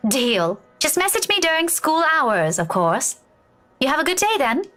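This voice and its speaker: High, lilting, sheepish young woman. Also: very animated, moderate pace, a bit bright. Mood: sheepish, friendly. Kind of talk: video game voice acting.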